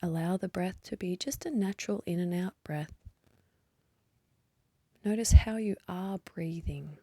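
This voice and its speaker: Slow, breathy woman guiding meditation. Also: on the low side, dry recording. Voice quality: soft, breathy, partly whispery. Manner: gentle, unhurried, with long pauses. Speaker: meditation guide. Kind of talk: guided meditation, slow calm instruction.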